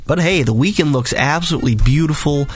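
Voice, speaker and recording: A man hosting a weather podcast as a meteorologist, his voice raspy from a cold, talking through the forecast in a conversational, detailed way. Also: on the high side, brisk, soft background music.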